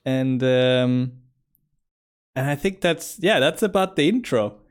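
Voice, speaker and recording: A man hosting a podcast, welcoming his guest in a friendly way, often pausing with fillers and repeating words as he goes. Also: medium pitch, clear, resonant tone. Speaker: podcast host. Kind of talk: casual interview hosting.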